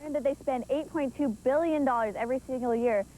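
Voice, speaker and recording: A woman's voice: high voice, fast, dark tone, slight background noise, low-quality recording. A woman speaking as a youth campaign advocate.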